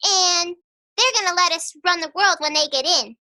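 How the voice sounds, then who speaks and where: Woman playing a very high-pitched, bright young girl. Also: normal speed, heavily acted. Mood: eager, insistent. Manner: childlike, blunt. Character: cheerful, matter-of-fact young girl plotting an invasion. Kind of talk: radio play acting.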